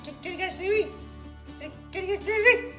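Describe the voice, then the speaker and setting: An extremely high, childlike girl puppet, excitable and easily distracted. She rambles and repeats herself as she spins playful stories for kids. The room is echoey, with faint music behind. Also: brisk. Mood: giddy, rambling. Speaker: children's puppet show host. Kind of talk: playful improvised storytelling for kids.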